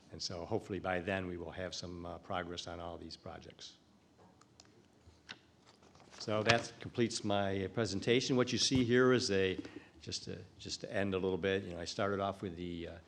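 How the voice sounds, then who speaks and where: Halting deep-voiced man presenting. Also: creaky. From United States. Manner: halting, many fillers and restarts. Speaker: conference presenter. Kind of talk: live presentation talk. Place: conference room.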